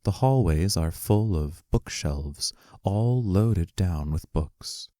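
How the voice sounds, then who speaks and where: A man reading the stage directions of a play aloud as scripted narration, plainly and deliberately. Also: sits low, lively intonation, dark tone, dry recording, clean studio sound.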